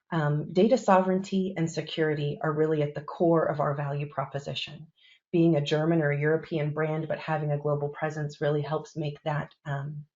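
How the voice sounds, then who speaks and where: A woman's voice: deep voice, warm tone, lo-fi sound. A woman moderating a corporate panel, presenting in a polished, upbeat, emphatic way.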